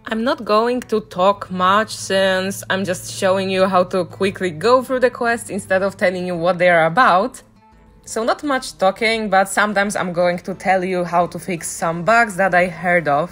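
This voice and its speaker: A woman's voice: medium pitch, moderate pace, fairly bright tone, soft background music. A woman giving casual walkthrough commentary as a gaming guide, speaking in voice-over. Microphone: voice-over on a microphone, game music behind.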